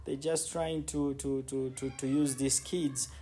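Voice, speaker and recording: Gravelly-voiced man, casual travel guide. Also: medium pitch, little pitch movement, measured pace, dark tone, faint music behind. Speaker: travel vlogger and city guide. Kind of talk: casual spoken travel commentary. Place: outdoors on city streets. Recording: background noise, outdoor street ambience.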